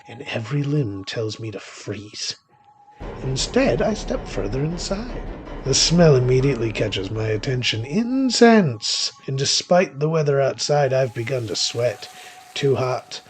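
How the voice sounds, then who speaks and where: A man's voice: medium pitch, a little hiss or hum, close to an everyday voice. A man playing a weary, cynical male witch, narrating a horror thriller audio drama in the first person in a wry, brooding, theatrical way. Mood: wry, tense, then wary, brooding. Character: weary, cynical male witch hunting through a haunted house. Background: music behind the voice.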